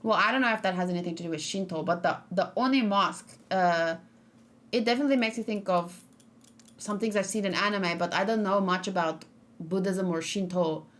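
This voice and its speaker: A woman streamer talking at an ordinary, middling pitch, chatting casually with her viewers during a live stream. She thinks out loud, drifts between thoughts, and often pauses to restart a sentence. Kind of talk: casual live-stream chat, reacting and reading chat.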